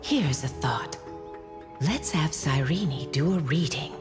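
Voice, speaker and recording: A calm game companion, with a deep voice that moves expressively. She talks very slowly, and music plays clearly behind her. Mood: teasing, thoughtful. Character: calm companion proposing a fortune reading. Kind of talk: video game voice acting.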